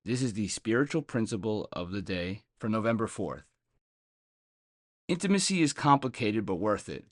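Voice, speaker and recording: A man's voice: on the low side, very animated, moderate pace, no echo, clean studio sound. A man reading a scripted daily meditation as a reader on a recovery podcast.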